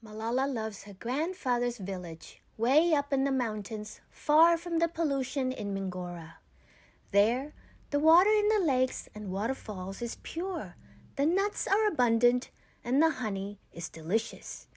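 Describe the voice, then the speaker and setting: A woman reads a children's book aloud in a fairly high voice. Her intonation moves widely and expressively through measured, scripted narration. The sound is dry, with no room echo. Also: sing-song, darkish tone.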